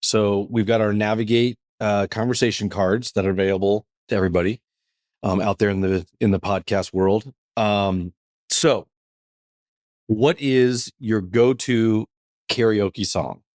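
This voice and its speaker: An upbeat man hosts a podcast with a lowish voice, chatting casually and leaning on fillers. The sound is dry and close to the mic. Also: steady tone, unhurried, darkish tone, no echo. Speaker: podcast host.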